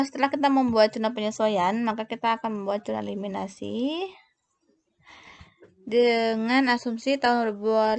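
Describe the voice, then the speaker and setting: Higher-voiced woman lecturer, creaky edges. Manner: halting, often breaking off and correcting herself. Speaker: accounting lecturer. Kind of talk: instructional explanation of worked examples.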